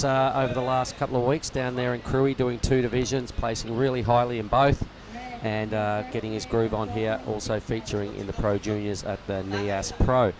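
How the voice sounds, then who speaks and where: A man leading live surf commentary, upbeat and chatty, calling the heat and steering the conversation. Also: mid-range voice. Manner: upbeat, leads the talk, says mate. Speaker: lead commentator.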